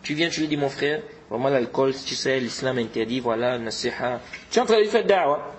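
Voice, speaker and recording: A man's voice: mid-range voice, animated, brisk, a little hiss or hum. A man giving an informal religious lesson, exhorting his listeners and often repeating his points with Arabic religious terms mixed in. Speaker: religious teacher.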